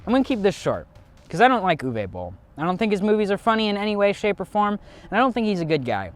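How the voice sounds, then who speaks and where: A man's voice: high-pitched, very animated, fast, soft background music. A young man giving blunt, sarcastic opinions and sketch banter in a comedic video essay.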